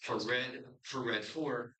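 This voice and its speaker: Bright-voiced male quiz moderator. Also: medium pitch, takes it slowly, poor audio. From Connecticut. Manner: relaxed, with frequent um and uh fillers between steady question reading. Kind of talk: moderating and reading quiz questions.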